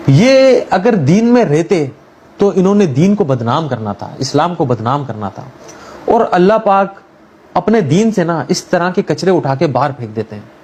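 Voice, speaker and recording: A man's voice: sits high, darkish tone, slight background noise. A man telling a religious moral story in an informal, conversational way, mixing in everyday English words. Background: background music.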